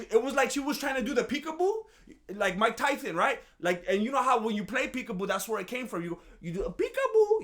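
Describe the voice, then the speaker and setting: High-voiced joking commentary vlogger man. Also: fairly bright tone. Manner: joking, sarcastic, self-mocking; rambling with frequent restarts. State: groggy after a nap. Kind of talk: casual comedic commentary monologue.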